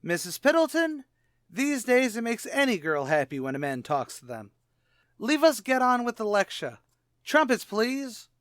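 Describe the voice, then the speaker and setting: An actor playing a brash, streetwise tavern manager with cocky, ungrammatical patter, in old-time radio comedy style. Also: male voice, extremely high pitch, very animated, normal speed, fairly bright tone, full character voice. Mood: showy. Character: brash, fast-talking, malapropping male tavern manager.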